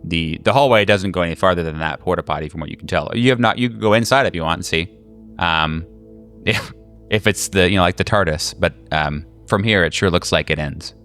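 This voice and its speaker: A man running a tabletop horror game as game master, narrating casually in a halting, slangy, jokey way. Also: low voice, a bit bright, soft background music.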